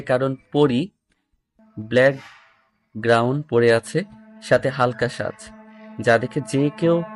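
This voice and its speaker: Casting: young male narrator, mid-pitched voice, first-person romantic audio story with acted dialogue.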